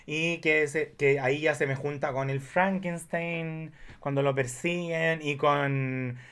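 A man chatting casually about films, telling things in an animated way with emphatic asides. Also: fairly high pitch, slow, smooth. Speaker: guest film enthusiast. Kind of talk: casual film chat.

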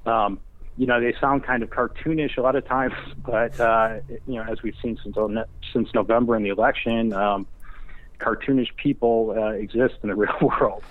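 A man's voice: medium pitch, fairly even intonation, normal speed. A man speaking over a phone line as an interview guest, hesitant and self-deprecating in casual conversation. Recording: band-limited phone line.

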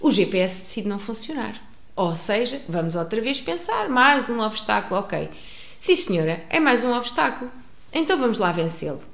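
A woman with a European Portuguese accent telling a personal travel story to an audience, expressive, with many restarts. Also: on the high side, normal speed, creaky. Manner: expressive, acts out dialogue, frequent restarts. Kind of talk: animated storytelling. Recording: roomy echo.

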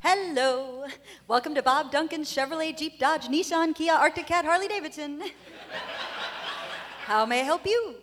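A woman's voice: sits high, measured pace, fairly bright tone, soft background music. A woman playing a perky but unhelpful dealership receptionist in a live audio comedy, with sing-song customer-service cheer. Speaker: dealership parts counter clerk. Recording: live stage with faint noise.

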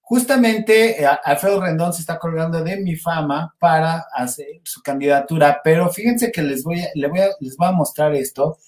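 Mexican guy hosting a live chat, kinda high voice, rambly and informal, roomy echo. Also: moderate pace, hardly any pauses, a little mellow. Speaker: livestream host. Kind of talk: casual live chat with viewers. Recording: roomy, echoey sound.

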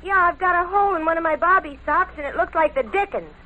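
A very high-voiced stubborn teenage girl. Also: fast, phone-quality sound. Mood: innocent, casual. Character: stubborn, unruffled teenage girl murder suspect in bobby socks. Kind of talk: 1940s radio drama acting. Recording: noisy old radio drama recording.

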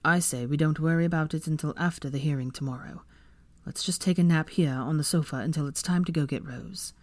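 Audiobook role: woman acting a weary, sardonic husband and father, lowish voice, dry close recording. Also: expressive, moderate pace, darkish tone, no echo. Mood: tired. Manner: tired, dry, grumbling. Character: weary, grumbling young father, sardonic but gentle.

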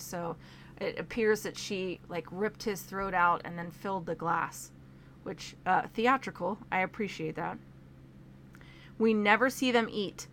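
A woman's voice: medium pitch, a bit bright, slight background noise. A young woman casually reviewing a film, going topic by topic in a chatty way with frequent fillers.

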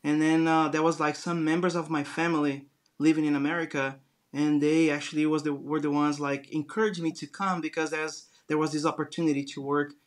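A man's voice: a little high, flat delivery, fairly quick. A man in his forties from Brazil with a Brazilian accent, telling his story as an earnest interview guest. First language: Portuguese. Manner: earnest, storytelling, frequent restarts. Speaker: guest entrepreneur. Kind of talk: casual interview conversation.

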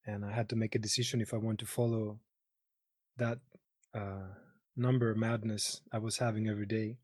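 A man's voice: on the low side, level tone, darkish tone, dry recording. A man in his thirties from Greece with a Greek accent, talking casually as a guest and searching for words in his second language. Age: 30s. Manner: halting, searching for words in non-native English. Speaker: interview guest. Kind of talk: casual personal conversation.

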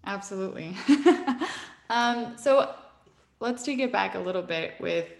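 A woman's voice: mid-range voice, expressive, unhurried, creaky. A woman hosting an interview podcast, asking questions in a friendly, encouraging way. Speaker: host. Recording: faint music behind.

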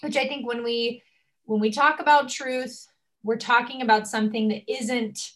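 A woman's voice: fairly high pitch, steady tone, normal speed, fairly bright tone. A young woman hosting a casual conversation, effusive and emotional, with many fillers. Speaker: host.

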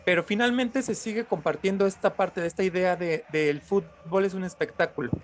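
A man's voice: high voice, brisk, a little hiss or hum. A Mexican man arguing his point in a casual panel discussion, often feeling his way through sentences.

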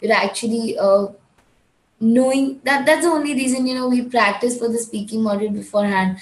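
A woman's voice: fairly high pitch, brisk, echoey room, low-quality recording. A woman from India with a Gujarati English accent, teaching an IELTS speaking lesson in a firm, direct way that repeats key points. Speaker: IELTS tutor. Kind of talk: instructional talk.

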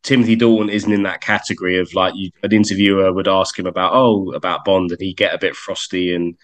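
A man's voice: low voice, level tone, quick pace, fairly bright tone. A man co-hosting a podcast, chatting in an easygoing way with stumbling restarts. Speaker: podcast co-host. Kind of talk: casual chat.

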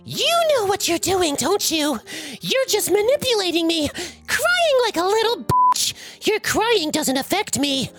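A woman playing an enraged, spiteful mother in an acted storytime, scolding her child in a shrill, drawn-out way. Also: very animated, fairly bright tone, faint music behind, heavily acted. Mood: enraged, taunting.

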